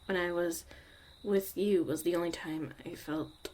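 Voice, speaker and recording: A woman playing a jaded, blunt young witch mother in a soft, sardonic ASMR roleplay about surviving the apocalypse. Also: on the low side, steady tone, unhurried. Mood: wistful, resentful. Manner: sardonic, casual, swearing, dismissive.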